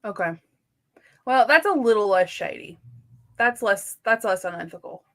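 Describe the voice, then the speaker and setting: A woman's voice: mid-range voice, animated, moderate pace. Informal woman chatting about books. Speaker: book podcast co-host. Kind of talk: casual book discussion.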